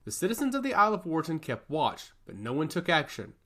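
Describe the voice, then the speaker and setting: A man's voice: fairly high pitch, huge pitch swings, fast, a bit bright. A man reading scripted fantasy narration with dramatic, emphatic storytelling delivery.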